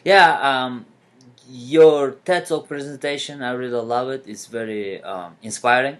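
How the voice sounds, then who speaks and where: A man from Kyrgyzstan, a native Kyrgyz speaker, hosts an interview podcast. He asks his guest questions in a soft-spoken, slightly halting voice of middle pitch. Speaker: podcast host.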